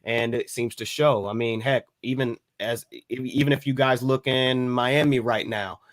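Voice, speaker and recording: An American man giving his views on a casual podcast panel in a halting, repetitive way. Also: medium pitch, fairly even intonation, dry recording.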